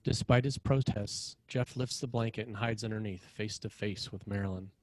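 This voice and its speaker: A man's voice: lowish voice, steady tone, moderate pace, a little mellow, low-quality recording. A man reads scripted scene directions as the narrator of a screenplay table read.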